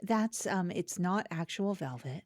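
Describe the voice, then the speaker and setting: A woman with a fairly low voice hosts a comedy podcast. Her delivery is conversational and deliberate, explaining things step by step as she improvises. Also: lively intonation, a little mellow, dry recording, clean studio sound. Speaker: podcast host.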